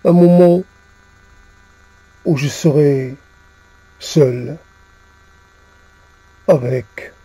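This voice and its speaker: A man reciting poetry in a solemn, halting manner, repeating phrases as he goes. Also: on the high side, mellow, husky, no room sound, rough recording. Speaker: poet reciting own poem.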